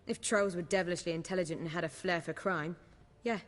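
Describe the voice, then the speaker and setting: A woman's voice: lowish voice, fairly quick, lightly acted. Sardonic, worldly woman in reverberant space, acted. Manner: sardonic, wordy, dry. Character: sharp-tongued, worldly woman, sardonic and anxiously loyal. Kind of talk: video game voice acting.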